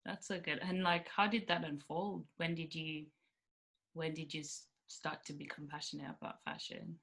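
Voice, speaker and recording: A woman hosting a casual podcast interview, chatty and informal and quick to laugh. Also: low voice, steady tone, vocal fry, fairly bright tone, breathy edge. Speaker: podcast host.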